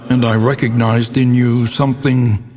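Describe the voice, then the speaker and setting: An actor voicing a grim, learned older narrator of a gothic video game, speaking in an ominous, solemn, theatrical way. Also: male voice, medium pitch, little pitch movement, normal speed, a little mellow, natural voice. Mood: brooding reminiscence. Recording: long reverb with faint background noise.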